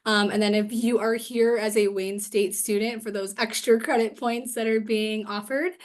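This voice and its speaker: A young woman with a raspy voice from a cold, hosting an online forum with chatty, upbeat talk and many fillers. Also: a little high, steady tone, normal speed. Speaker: host. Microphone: video call.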